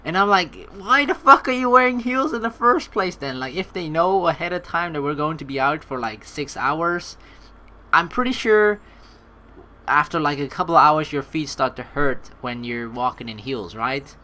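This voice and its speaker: A young woman doing let's play commentary, reading game text aloud in an animated, playful, blunt and casual way. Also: sits low, normal speed.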